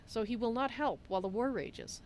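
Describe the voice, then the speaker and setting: A woman's voice: a little high, animated, a little fast, darkish tone, a little hiss or hum. A woman voicing a polite, chatty female cat-folk adventurer in improvised fantasy game roleplay, referring to herself in the third person. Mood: earnest, persuasive. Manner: speaks of self in third person. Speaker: roleplay streamer.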